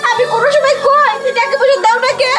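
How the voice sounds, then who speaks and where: A woman's voice: very high-pitched, steady tone, quick pace, far from the mic. A woman voicing an aggrieved older village mother in a cartoon, with scolding, plaintive rural speech. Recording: loud music under voice.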